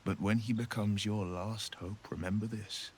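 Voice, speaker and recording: A man playing a grave video game character who gives warnings in a measured, theatrical, ominous way. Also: on the low side, steady tone, slight background noise, natural voice. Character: grave, cautionary man warning of a devil's bargains.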